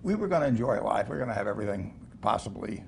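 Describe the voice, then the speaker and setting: Gravelly older man, halting chat. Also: lowish voice, sing-song, a little mellow, slight background noise. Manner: halting, many restarts, chuckling. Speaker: guest. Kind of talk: casual interview talk. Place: studio set like a living room.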